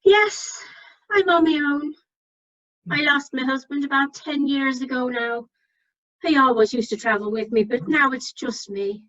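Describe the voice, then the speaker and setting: A woman's voice: high voice, measured pace, fairly bright tone, lo-fi sound. A woman playing a cheerful, chatty widow with an Irish accent in an amateur radio comedy, over online meeting audio.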